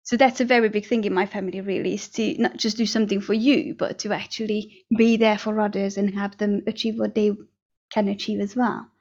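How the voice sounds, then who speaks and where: A woman from Hungary, a guest telling her story in a casual interview, earnest and dotted with fillers and soft laughs. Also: mid-range voice, flat delivery, brisk, muffled recording. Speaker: guest, NLP trainer and hypnotherapist.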